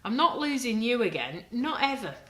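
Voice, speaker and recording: A woman's voice: on the high side, big pitch swings, normal speed, pushed and bright, pitched above the performer's own voice. A woman doing a timid, loyal little decoration girl. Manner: nervous, breathy whispering. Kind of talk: storybook read-aloud. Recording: roomy echo.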